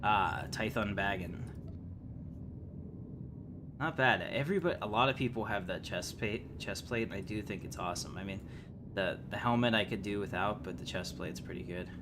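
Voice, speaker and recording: A man with a fairly low voice chats through a game livestream. He rambles easily and conversationally, with clear noise and game ambience behind him. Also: moderate pace. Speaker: game streamer, host. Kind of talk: casual livestream chat with game commentary.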